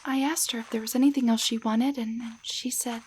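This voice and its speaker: A woman playing a timid young waitress shrunk into a doll, in a roleplay audio drama, speaking in a halting, stammering way. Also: fairly high pitch, steady tone, a little mellow, husky, low-quality recording. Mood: shaken, recounting.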